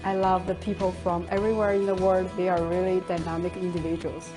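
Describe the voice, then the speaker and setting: A woman's voice: fairly low pitch, little pitch movement, a little fast. A woman and recent college graduate speaking enthusiastically in a testimonial video. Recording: music bed behind voice.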